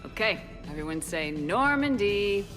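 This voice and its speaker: A woman's voice: mid-range voice, very animated, unhurried, fairly bright tone, in a large hall, faint music behind. An actress playing a friendly, take-charge female military commander in a video game, upbeat and directing. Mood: cheerful.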